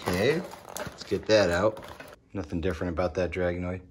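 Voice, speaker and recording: A woman's voice: rumbling low, slight background noise. A woman chatting playfully while filming a toy unboxing at home on a handheld phone, with music underneath. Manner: playful, excitable, quick exclamations. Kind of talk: casual chat. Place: home living room, wood floor.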